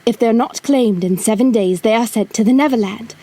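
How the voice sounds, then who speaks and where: A woman's voice: a little high, brisk, dark tone, lightly acted. A woman playing a cocky, adventurous magical boy in an audio drama. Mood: boastful.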